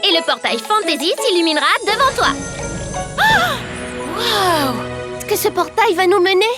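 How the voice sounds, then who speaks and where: An eager young cartoon heroine with a very high, lively voice. She bursts with excited exclamations and leads her friends. Bold dub music plays loudly behind her. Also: a little slow, full character voice. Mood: mysterious. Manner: bright, excitable, full of exclamations. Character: cheerful curious young woman, a group's leader. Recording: studio dub over loud music.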